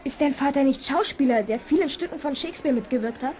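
Radio play part, female actor: spirited, sympathetic kid, high voice, eager questions. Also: quick pace, darkish tone, soft background music, lightly acted. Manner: eager, inquisitive. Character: spirited, sympathetic youngster among friends. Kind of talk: children's radio play acting. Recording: old slightly noisy radio play.

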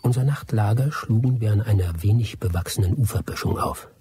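An actor playing a first-person narrator, giving measured, reflective storytelling in a radio drama. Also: male voice, lowish voice, mellow, slightly rough. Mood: calm recollection. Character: male first-person narrator recalling a jungle expedition.

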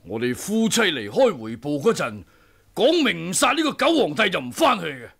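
A man's voice: a little high, very animated, normal speed, creaky, a bit bright, breathy edge, exaggerated voice. An actor playing a combative martial-arts master in his sixties in a Hong Kong Cantonese radio drama.

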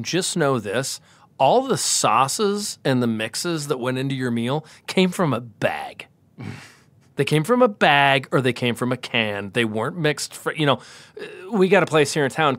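A man's voice: medium pitch, a bit bright, no echo. A man in his forties, a podcast guest in casual chat, talking emphatically and preaching his views. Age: 40s.

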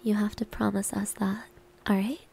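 A woman acts out a proud tsundere maid in an ASMR roleplay. Her medium-pitched voice is soft, breathy and creaky, often dropping to a whisper. She sounds coy, grudging and flustered. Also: little pitch movement, moderate pace. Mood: coy, insistent.

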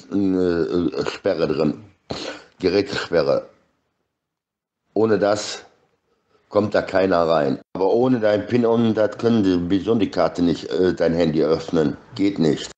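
A middle-aged man speaks in a low-pitched voice, rambling casually. His sentences come out halting and broken, with words repeated as he goes. The sound is a somewhat dull, lo-fi recording from a phone held close to his face. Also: a little mellow.

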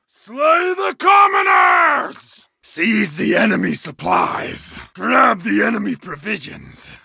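An actor playing a savage bearded medieval berserker in a game, barking battle commands in a gravelly, raspy roar. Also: male voice, very high-pitched, pushed and bright, no echo, studio quality, full character voice. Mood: bloodthirsty roar. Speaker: game soldier character.

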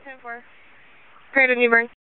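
A woman's voice: on the high side, level tone, moderate pace, clean tone. Woman dispatcher over narrow police radio.

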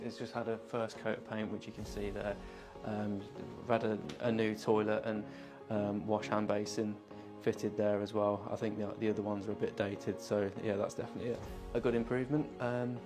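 A man in his thirties presenting and interviewing, talking hesitantly with many restarts, on a lapel mic in an empty house. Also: medium pitch. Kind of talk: casual interview and walkthrough narration.

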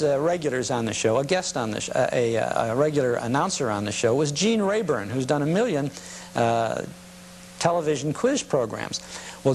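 Guy hosting a nostalgia show, kinda high voice, chatty and halting, noisy old tape with hum. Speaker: host of a nostalgia broadcast. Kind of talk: casual unscripted introduction of vintage commercials.

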